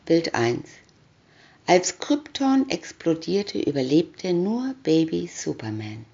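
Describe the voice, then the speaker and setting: A woman reading a scripted children's comic story aloud, deliberately, with pauses between captions. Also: on the low side, sing-song, gravelly, warm tone. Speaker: reader of picture-story booklets. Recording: dull lo-fi recording.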